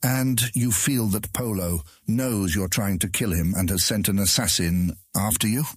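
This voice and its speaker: A man voicing a sly lord who feigns humble loyalty, with a very raspy voice and formal speech in a fantasy audiobook. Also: on the low side, moderate pace, no echo, studio quality. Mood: cool. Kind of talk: audiobook character acting.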